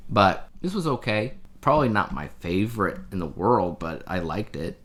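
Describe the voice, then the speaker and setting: A man with a low-pitched voice talks casually as a podcast co-host. He lays out his opinions and explains them in an everyday, conversational style. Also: big pitch swings, normal speed, fairly bright tone. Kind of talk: casual chat.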